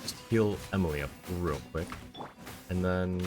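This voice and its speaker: Deep-voiced man streaming a game. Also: unhurried. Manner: chatty, talking to viewers and himself. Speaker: live streamer. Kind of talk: casual gameplay stream commentary. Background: game music.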